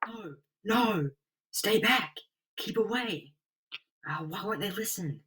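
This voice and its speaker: Man playing a desperate, high-voiced young superhero. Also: lively intonation, slow pace, heavy background noise. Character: desperate young flaming superhero, anguished and frightened.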